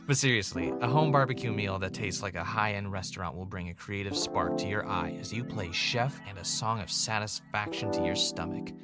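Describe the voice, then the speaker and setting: A man's voice: lowish voice, fairly quick, hardly any pauses, over loud music. A man giving a playful scripted podcast ad read as the host.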